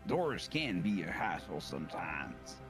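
A man's voice: low-pitched, very animated, unhurried, fairly bright tone, soft background music. A man chatting playfully as a player in a tabletop game. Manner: playful, joking. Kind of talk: casual table talk as a player.

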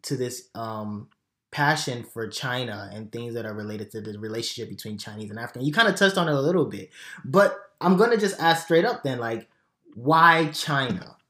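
Brief: Nigerian-born male host, easygoing and quick, mid-range voice, roomy echo. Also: medium pitch. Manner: relaxed, laughs along, brief backchannels. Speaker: interviewer. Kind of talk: casual interview conversation. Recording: echoey room.

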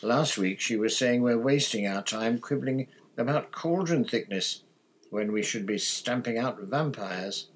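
Officious young man for a storybook, done by a male reader: self-important, indignant, slightly rough voice. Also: on the low side, a bit bright, low-quality recording.

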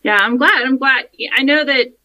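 An American woman, a guest on a podcast, chatting warmly and with enthusiasm about her home bar. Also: high-pitched, moderate pace, muffled recording. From United States. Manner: warm, enthusiastic and gushing. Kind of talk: casual interview chat.

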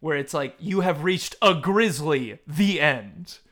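A man hosting a casual conversation in an animated, emphatic way, sometimes reading aloud. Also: on the high side, slow pace. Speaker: podcast host. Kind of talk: casual chat, some reading aloud from a book.

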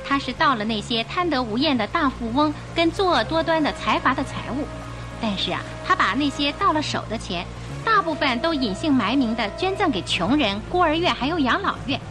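A woman's voice: high voice, poor audio. An actress playing a chatty, trusting ordinary woman passenger in an old-style radio drama.

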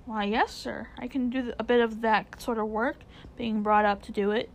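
An honest London cabby from a storybook, acted out by a woman, with a Cockney accent. He is humble and plain-spoken, picking his words haltingly and deferring respectfully. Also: a little high, steady tone, vocal fry. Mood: humble, earnest. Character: humble, honest working-class male London cab driver.

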